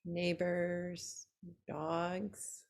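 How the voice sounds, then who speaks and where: A woman teaching yoga nidra, guiding a relaxation meditation in a soothing, unhurried way with long pauses between short phrases. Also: on the low side, level tone, gravelly.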